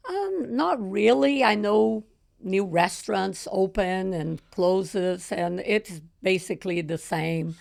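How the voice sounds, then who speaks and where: A woman's voice: medium pitch, slow pace, gravelly, darkish tone. A middle-aged woman from Brazil with a Brazilian accent, chatting unhurriedly as a podcast guest and sometimes phrasing things like a non-native speaker. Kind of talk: casual conversation.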